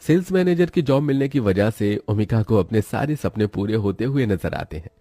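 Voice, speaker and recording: A man narrates a scripted moral tale. His voice sits a little low and has a soft, dark tone. The recording is close and dry. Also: lively intonation, moderate pace, no echo.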